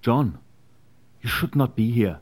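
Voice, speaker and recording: An actor playing an anxious, reluctant immigrant tradesman with a foreign accent in a historical drama, speaking in halting, careful phrases. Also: medium pitch, big pitch swings, a little mellow, husky, exaggerated voice. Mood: fearful, hushed. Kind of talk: period historical drama acting.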